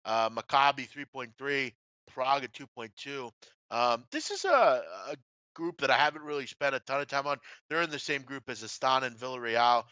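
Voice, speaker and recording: A man hosting a casual sports betting discussion, speaking bluntly with some swearing and halting asides. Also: mid-range voice, animated, pushed and bright, smooth, no echo. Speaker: sports betting show host. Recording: slightly dull, dry lo-fi audio.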